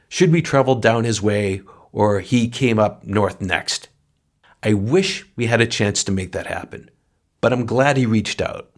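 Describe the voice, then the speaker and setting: A man reading memorial tributes aloud as a podcast co-host, in an earnest, solemn manner. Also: on the low side.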